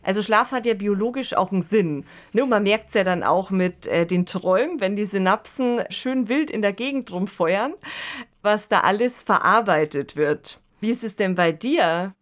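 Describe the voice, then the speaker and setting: A woman's voice: mid-range voice, normal speed. A woman co-hosting a podcast, talking casually and analytically, often adding little tag questions. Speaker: podcast co-host. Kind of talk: casual discussion.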